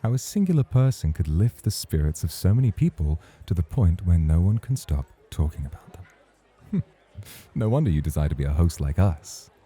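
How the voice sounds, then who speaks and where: A man playing a suave, very dark-voiced male club host. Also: low voice, exaggerated voice. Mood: flirtatious, admiring. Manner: formal, smooth-talking, flirtatious. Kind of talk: ASMR roleplay acting.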